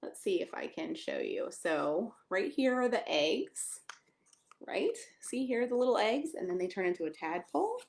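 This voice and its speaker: An American woman reads aloud for young children in a very animated, swooping voice at an average pitch. She mixes the storybook narration with chatty asides and puts simple questions directly to her listeners. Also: moderate pace, gravelly. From United States (Maryland). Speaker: pastor reading a children's story.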